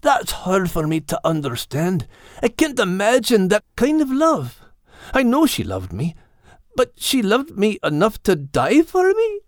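Scared little puppy for an audiobook, really high voice, plaintive and halting, full of guilt. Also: male voice, huge pitch swings, no echo, clean studio sound, exaggerated voice. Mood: bewildered, sad. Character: frightened grieving young male puppy, guilt-ridden and earnest. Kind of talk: audiobook character voice.

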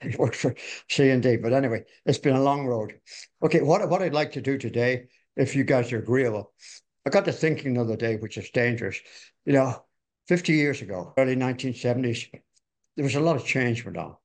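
An older man with a slightly hoarse voice from a cold talks in a loose, folksy way. He rambles and restarts his sentences as he looks back on his industry days, speaking in a plain middle register. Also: mid-range voice, fairly quick. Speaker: podcast co-host. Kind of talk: conversational industry reminiscence.

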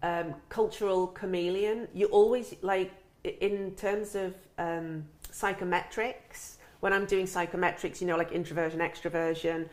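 A woman's voice: fairly low pitch, steady tone, moderate pace, hardly any pauses, darkish tone. A middle-aged woman hosting a casual interview in a chatty, rambling, jokey way. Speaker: interviewer, podcast host.

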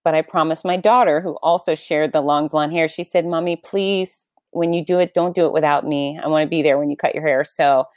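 A middle-aged woman and race announcer, candidly telling a personal story in an interview with lively, self-deprecating emphasis. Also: sits low, dry recording, studio quality.

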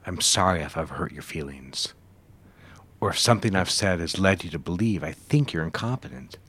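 An actor playing a cold, controlling middle-aged executive boss in an audio drama, measured and commanding. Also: male voice, low-pitched, expressive, a bit bright, husky, full character voice. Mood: soft, seductive.

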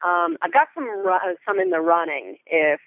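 A woman's voice: lowish voice, very animated, normal speed, no echo. A woman speaking as an interview guest, a Foley artist telling stories in a casual, enthusiastic and descriptive way. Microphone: phone or remote call. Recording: tinny, narrow phone-like line.

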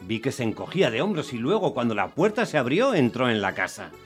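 A man playing an exasperated, unlucky taxi driver, telling his story in a wry, expressive way as radio drama. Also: medium pitch, brisk, soft background music, natural voice. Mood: weary, narrating. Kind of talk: dramatised first-person radio narration.

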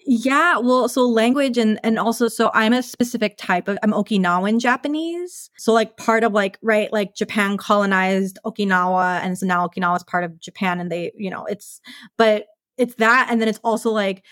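A woman's voice: medium pitch, normal speed, a bit bright, no room sound, studio quality. A woman in her thirties, a podcast guest, speaking casually and thoughtfully with frequent "like" and "right?" fillers. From United States.

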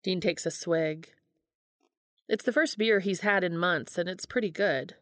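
A woman's voice: on the low side, a little slow, a bit bright, no echo, studio quality. A woman narrating fan fiction in an audiobook style, reading the story aloud.

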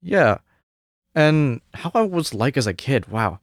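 A man's voice: mid-range voice, sing-song, slow pace, no room sound, clean studio sound. A young man from Hong Kong, a music student and interview guest, answering casually with many hesitations. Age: young adult. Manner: hesitant, many fillers and restarts.

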